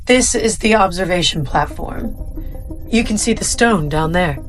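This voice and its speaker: An actress playing a composed, authoritative facility guide, speaking formally and explaining things in an audio drama. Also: female voice, mid-range voice, animated, measured pace, over music, close to an everyday voice. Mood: composed, assured.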